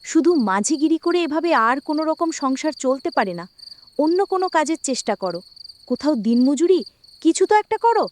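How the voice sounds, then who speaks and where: A woman's voice: sits high, very animated, normal speed, darkish tone, a little hiss or hum. A woman voicing a worried, scolding village wife and mother in a cartoon, pleading and complaining over hardship. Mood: anxious pleading. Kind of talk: dramatic cartoon voice acting.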